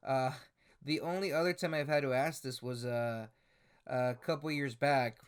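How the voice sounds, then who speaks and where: A man's voice: mid-range voice, steady tone, normal speed, clean tone. Hesitant man in his twenties. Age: 20s. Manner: hesitant, many "uh" fillers and restarts. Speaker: commentary YouTuber. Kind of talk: casual commentary reading screenshots.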